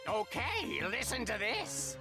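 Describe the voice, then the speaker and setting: Playful, lilting young storyteller. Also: female voice, on the high side, very animated, slow, over music, heavily acted. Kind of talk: anime game voice acting, addressing the listener.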